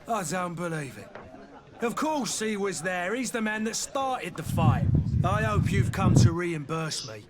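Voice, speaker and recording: A man's voice: high voice, expressive, unhurried, a crowd in the background. A man playing an aggrieved, blunt tavern keeper in scripted video game dialogue, with an indignant way of talking.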